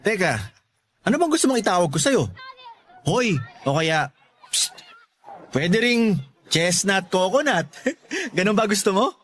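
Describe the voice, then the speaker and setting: An actor playing a cheeky, lovestruck young loafer in a Filipino radio drama, teasing and wheedling with corny playfulness. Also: male voice, sits high, very animated, measured pace, pauses often, a bit bright, slight background noise, full character voice. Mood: playful, doting. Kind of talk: Tagalog radio drama acting.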